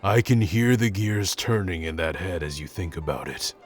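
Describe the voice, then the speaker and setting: A man playing a gruff, awkward young tsundere prince who speaks haltingly and grudgingly in an intimate roleplay audio addressed to the listener. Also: sits low, animated. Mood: shy, reassuring. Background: background music.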